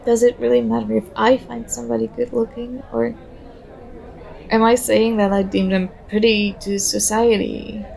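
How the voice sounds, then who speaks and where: A woman's voice: fairly high pitch, little pitch movement, moderate pace, vocal fry, darkish tone, poor audio. A woman playing a very shy, nervous young stranger in an ASMR roleplay, talking hesitantly and self-consciously as she overthinks. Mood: thoughtful, rambling. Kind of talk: ASMR roleplay, soft conversational acting.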